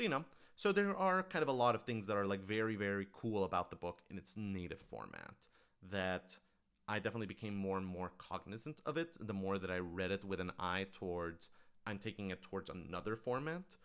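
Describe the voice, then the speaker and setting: Clear, low-voiced man thinking aloud. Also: a bit bright. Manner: rambling, thinking aloud, frequent hedging asides. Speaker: writer-director answering audience questions. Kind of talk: casual livestream Q&A chat.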